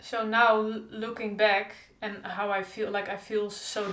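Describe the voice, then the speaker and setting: A woman's voice: medium pitch, flat delivery, measured pace, fairly bright tone. Woman who stutters openly, echoey room. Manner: stutters openly with repeated sounds, frequent laughs. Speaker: stuttering vlogger. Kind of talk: casual diary-style vlog.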